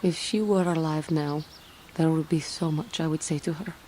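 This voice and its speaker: A woman's voice: deep voice, normal speed, dark tone, a little hiss or hum, close to an everyday voice. A woman playing a proud, bitter fallen Jedi tormented by guilt, with intense, theatrical and formal delivery in a video game. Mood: remorseful. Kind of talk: dramatic video game voice acting.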